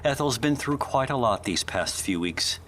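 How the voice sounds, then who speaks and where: Man playing a prim, indignant assistant, expressive pitch. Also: normal speed, a little hiss or hum, lightly acted. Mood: polite reproach. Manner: formal, precise, reproachful. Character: prim, formal, loyal male assistant, quietly indignant. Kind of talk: film noir audio drama acting.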